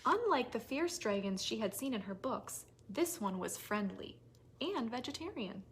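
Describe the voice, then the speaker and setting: A woman hosting a toddler story time, reading aloud with expressive, simple phrasing for small children. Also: a little high, normal speed. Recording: noisy recording with clicks.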